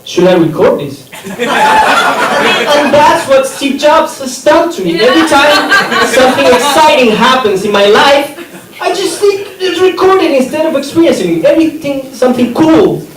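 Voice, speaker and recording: A man's voice: extremely high pitch, very animated, moderate pace, a crowd in the background. A man from Chile, performing a stand-up comedy set in a rambling, self-interrupting way. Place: comedy theater stage. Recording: echoey room from a distance, audience laughter.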